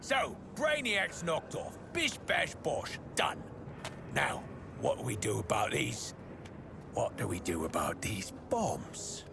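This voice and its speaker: An actor playing an eccentric, self-absorbed arms dealer in a video game, talking in brisk, clipped slang. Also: male voice, mid-range voice, sing-song, gravelly, over music, full character voice. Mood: brisk, scheming. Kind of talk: video game character acting. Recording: game audio with vehicle ambience noise.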